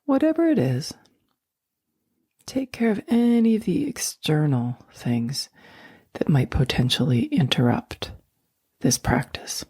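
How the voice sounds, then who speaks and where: A woman guiding a sleep meditation, speaking slowly and softly, often in a near whisper. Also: low-pitched, animated, husky, no room sound, studio quality. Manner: slow, soft and soothing, often whispered. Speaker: meditation guide.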